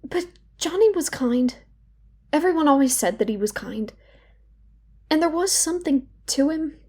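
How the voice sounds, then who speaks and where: A woman playing a regretful, hurt young woman who speaks haltingly in an intimate audio drama confession. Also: high-pitched, animated, dark tone. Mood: conflicted, reflective. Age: young adult. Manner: hesitant, halting, with repeated words and pauses. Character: regretful, wounded young woman confiding in therapy.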